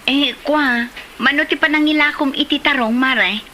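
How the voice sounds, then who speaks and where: A woman's voice: high-pitched, normal speed, bright, forceful tone, a little hiss or hum. A woman with an Ilocano accent playing a touchy, haggling village housewife who complains indignantly in a comedy drama.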